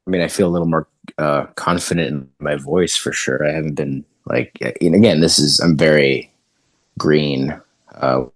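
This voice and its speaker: A man with a very deep voice talks informally in a dry, close recording. He sounds earnest and slightly halting, often doubling back on his words. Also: steady tone, dry recording. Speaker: amateur voice actor on a team podcast. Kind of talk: casual chat.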